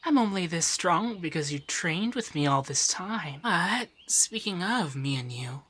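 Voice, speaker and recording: A man voicing an affectionate, teasing femboy monster companion in a soft, breathy, shyly stammering ASMR roleplay. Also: a little high, expressive, a little slow, full character voice. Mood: tender, grateful.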